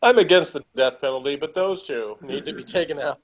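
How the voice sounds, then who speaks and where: A man's voice: a little high, fairly quick. A man hosting a casual episode review. He is sarcastic, chuckles often and reads from his notes. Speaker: podcast host reviewing an episode. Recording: dull lo-fi audio.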